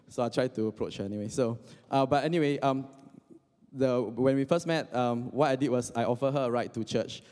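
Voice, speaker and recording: A young man from Indonesia delivers a sermon in a relaxed, chatty way, breaking in with little jokes and stumbling restarts. His voice is mellow and sits at a middle pitch. The sound rings out with the long reverb of a large hall. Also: moderate pace. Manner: joking asides, frequent fillers and restarts. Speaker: preacher. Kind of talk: casual conversational sermon.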